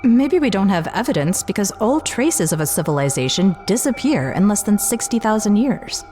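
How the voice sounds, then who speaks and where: A woman's voice: medium pitch, big pitch swings, normal speed, dark tone, faint music behind, close to an everyday voice. A woman playing an open-minded, diplomatic scientist advisor in a sci-fi audio drama, explaining things earnestly. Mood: earnest, curious.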